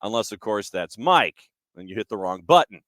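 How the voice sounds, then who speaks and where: A man's voice: fairly low pitch, very animated, normal speed, fairly bright tone. A man hosting a sports show, chatting casually and introducing match clips in a self-deprecating way. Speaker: sports talk show host.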